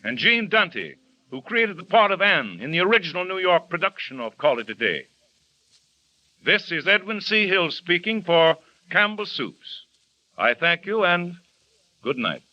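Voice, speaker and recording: A man hosting a 1930s radio program with a formal, expressive announcing manner. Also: high voice, unhurried, dry recording. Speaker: radio host. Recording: dull lo-fi old radio recording, dry.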